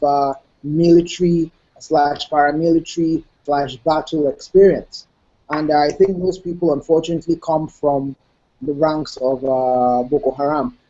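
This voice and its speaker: Guy in his middle years on a tv interview, slow and thoughtful, lots of uh's, muffled audio. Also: a little high, warm tone. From Nigeria. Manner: measured, analytical, frequent "uh" pauses. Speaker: guest analyst. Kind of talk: television interview.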